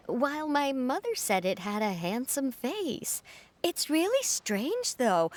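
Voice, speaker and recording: A woman playing a dramatic, superstitious fishing official who talks like an earnest, theatrical storyteller in a video game. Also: high-pitched.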